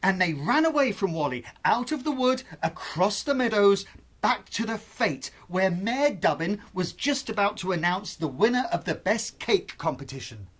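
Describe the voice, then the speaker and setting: A storyteller narrating a children's bedtime tale in an expressive, suspenseful, deliberate way. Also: medium pitch.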